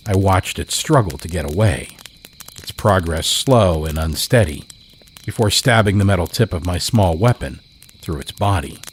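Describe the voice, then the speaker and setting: A man narrating a horror story in a measured, deliberate way as a podcast host. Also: sits low, a little hiss or hum.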